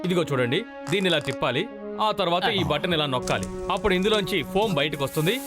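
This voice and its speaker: A man's voice: a little high, lively intonation, fast, natural voice. A man voicing a bossy, easily exasperated firefighting trainer in a cartoon dub. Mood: instructing. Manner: instructive, scolding, shouting in alarm. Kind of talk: animated cartoon dub acting. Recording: music behind the voice.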